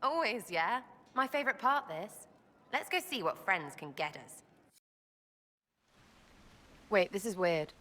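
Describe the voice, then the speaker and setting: Bright, cheeky street thief. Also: female voice, medium pitch, expressive, a little slow, long pauses, in a large hall. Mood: casual, cheeky. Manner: blunt, slangy, clipped phrasing. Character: cheeky, scrappy young woman thief, irreverent and streetwise. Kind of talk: video game character acting.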